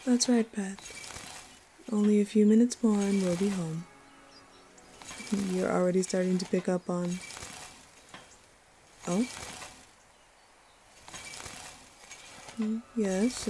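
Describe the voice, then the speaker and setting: A woman playing a commanding, protective snake woman, dominant yet fond, speaking with measured authority in a fantasy audio roleplay. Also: on the low side, a little mellow, a little hiss or hum, close to an everyday voice. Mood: affectionate. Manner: measured, authoritative, fond toward her human.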